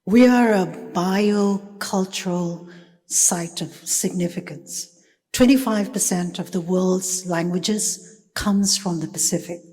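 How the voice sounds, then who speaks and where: A woman from Fiji giving a thoughtful conference talk, with many pauses and fillers. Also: fairly low pitch, darkish tone, slightly rough. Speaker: panel speaker. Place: conference hall. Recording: reverberant conference hall.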